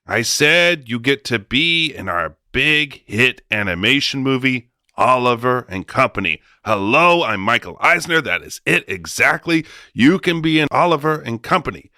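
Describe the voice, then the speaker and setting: A man's voice: medium pitch, animated, normal speed, few pauses, bright, forceful tone, no room sound. A man playing a flustered studio boss in a comic radio drama, stammering and placating as he wheels and deals. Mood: stammering, pleading. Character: smooth-talking, flustered male studio boss wheeling and dealing.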